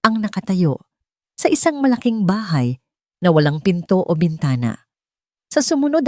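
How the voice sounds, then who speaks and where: Female Filipino audiobook narrator, low and mellow, scripted dramatic storytelling, dry sound. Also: no echo.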